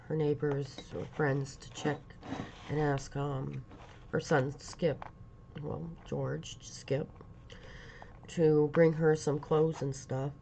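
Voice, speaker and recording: Casting: stuffy fifties woman, gravelly and slow, rambling vlog chat, lo-fi hiss. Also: sits low, warm tone. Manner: halting, rambling, many pauses and fillers. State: congested from a lingering cold. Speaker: vlogger. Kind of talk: casual personal vlog talk.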